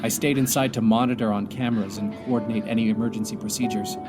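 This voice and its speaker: A man playing a weary, grieving astronaut commander stranded alone, speaking an earnest, halting audio drama monologue. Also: on the low side, steady tone, over music, natural voice. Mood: somber.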